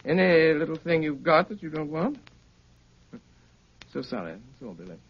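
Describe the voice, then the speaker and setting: An actor playing a cool, sardonic, commanding gentleman detective in a 1930s radio drama, speaking with clipped, dryly polite authority. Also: fairly high pitch, big pitch swings, normal speed, breathy edge. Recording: muffled, noisy 1930s radio recording.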